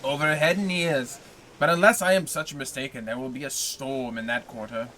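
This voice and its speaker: A man's voice: mid-range voice, steady tone, a little fast, sharp tone, slight background noise, lightly acted, poor audio. A man voicing an envious, gossipy male neighbor who blusters with indignation about a rival. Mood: gossiping.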